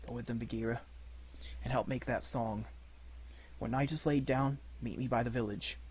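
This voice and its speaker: A man reads as a bold wild boy of the jungle in a storybook. He speaks in terse, old-fashioned phrases, with a commanding manner and a mid-pitched voice. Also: fairly even intonation, normal speed, a little hiss or hum, close to an everyday voice. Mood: quietly commanding.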